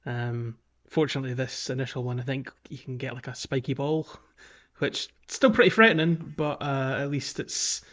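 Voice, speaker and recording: A man's voice: mid-range voice, moderate pace, a bit bright. A man hosting a podcast, chatting casually about a video game in a conversational, slightly hesitant way. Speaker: podcast host.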